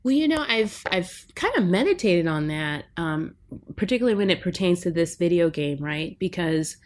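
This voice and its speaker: A woman's voice: on the low side, lively intonation, moderate pace. A woman linguistics professor talking as a podcast guest in a reflective, academic way, often checking in with "right?". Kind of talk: academic interview talk.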